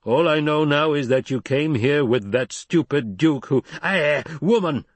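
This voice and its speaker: Gruff blustering desert chieftain, roaring, higher than narrator's own voice, man puts it on. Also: lively intonation, measured pace, no echo.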